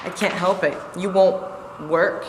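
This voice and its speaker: A woman's voice: lowish voice, fairly even intonation, measured pace, very noisy, natural voice. A woman reading a scolding, fed-up wife. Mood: bitter. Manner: anxious and scolding. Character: worried, nagging young boxer's wife. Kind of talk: staged play reading. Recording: very echoey studio room from a camera.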